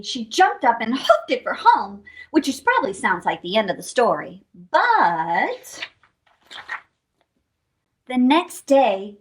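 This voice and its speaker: A woman hosting children's storytime, reading expressively and playfully, putting questions to young listeners. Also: a little high. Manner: expressive, playful, asks listeners questions and adds sound effects. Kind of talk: animated picture-book read-aloud for young children.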